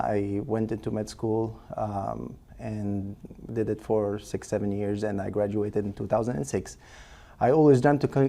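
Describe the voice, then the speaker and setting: A man's voice: lowish voice, vocal fry, mellow. A man, a cardiologist patiently explaining in an interview, with frequent fillers and restarts. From Lebanon. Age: 40s. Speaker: cardiologist guest.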